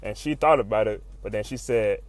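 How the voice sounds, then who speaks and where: A man giving a casual, excited recap as a video host, full of slang, swearing and animated exclamations. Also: lowish voice, fairly quick, no room sound. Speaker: YouTube host recapping comics.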